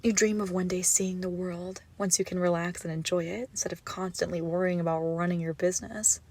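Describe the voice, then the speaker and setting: A woman playing an obsessive, gushing yandere kidnapper in a roleplay audio drama, menacingly sweet, with breathy, doting coos. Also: lowish voice, steady tone, brisk, darkish tone. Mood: lovestruck, possessive. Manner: breathy doting coos, often dropping to whispers. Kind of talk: roleplay audio drama, intimate monologue to listener.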